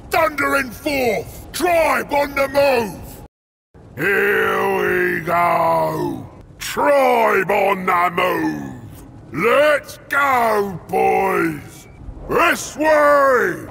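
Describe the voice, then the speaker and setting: An actor voicing a huge, brutish, ravenous male ogre warrior in a video game, barking short blunt war cries in a gruff, gravelly voice. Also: very high voice, pushed and bright, slight background noise, full character voice. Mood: eager, rowdy. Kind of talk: video game unit battle barks.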